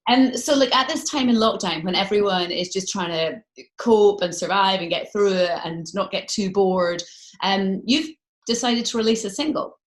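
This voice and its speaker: British female radio interviewer, medium pitch, chatty and gushing, informal video-call style. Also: moderate pace. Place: remote video call in London.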